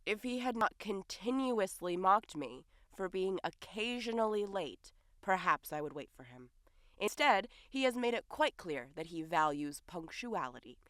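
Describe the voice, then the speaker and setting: A woman's voice: fairly low pitch, expressive, moderate pace, a bit bright, no room sound, studio quality. A woman acting in an audio drama as a flustered, defensive human man posing as a fairy king, with a theatrical manner. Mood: smug, composed.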